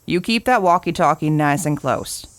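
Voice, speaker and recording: Here is an actress as a gruff older woman, a park warden with a low-pitched voice, recorded very dry. She is bossy and folksy, handing out colloquial orders in audio drama style. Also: expressive, moderate pace, dry recording. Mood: firm, instructing.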